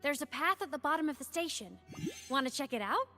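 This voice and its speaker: Breezy high-voiced ghost girl. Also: lively intonation, a little fast, pushed and bright, faint music behind, full character voice. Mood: breezy, curious. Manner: casual, teasing, upbeat. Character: breezy, playful young female ghost companion. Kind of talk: video game voice acting.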